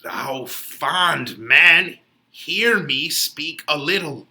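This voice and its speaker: A man voices an older friar in a Shakespeare play, a patient counsellor who gets exasperated. He speaks slowly and solemnly, admonishing like a father. The tone is sharp and forceful. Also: fairly high pitch, lively intonation, voice pushed down, heavily acted. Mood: consoling. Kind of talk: dramatic Shakespeare reading.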